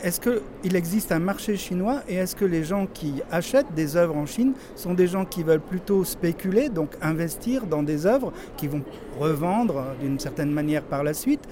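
A male interviewer asking long, measured questions with occasional fillers. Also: sits high, lively intonation, background noise.

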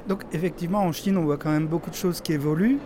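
A male interviewer asking long, measured questions with occasional fillers. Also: a little high, fairly even intonation, background noise.

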